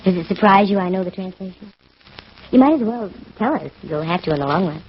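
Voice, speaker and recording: An American actress playing a sharp-witted female private detective in 1940s radio style, breezy, slangy and wry. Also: mid-range voice, expressive, a little fast, a little hiss or hum, lightly acted. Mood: pressing. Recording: dull vintage radio recording with music.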